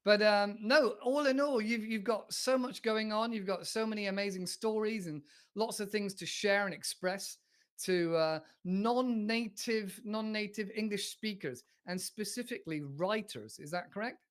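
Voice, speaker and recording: High-voiced male podcast host. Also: normal speed, fairly bright tone. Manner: friendly, repeats words, stammers. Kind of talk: casual interview chat.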